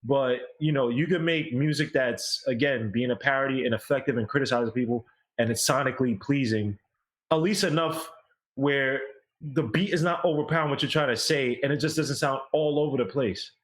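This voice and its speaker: A man co-hosting a music review show, giving casual, opinionated critique in an animated, informal way and checking in with rhetorical questions. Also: medium pitch, brisk.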